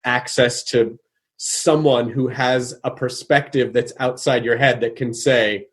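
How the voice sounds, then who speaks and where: A middle-aged man hosting a casual interview, thinking aloud with many restarts and growing animated when he acts out what someone might say. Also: medium pitch, measured pace. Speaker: podcast host.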